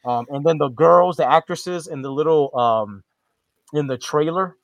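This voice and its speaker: A man's voice: on the high side, moderate pace, dry recording. A man co-hosting a casual podcast, rambling through a horror movie review with frequent restarts and casual swearing. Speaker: podcast co-host.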